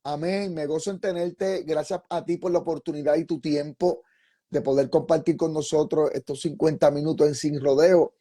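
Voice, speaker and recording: A pastor hosting an interview, a man with a mellow, somewhat high voice. He speaks fervently and keeps returning to phrases of praise. His delivery moves between preaching and friendly questions. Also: steady tone, normal speed.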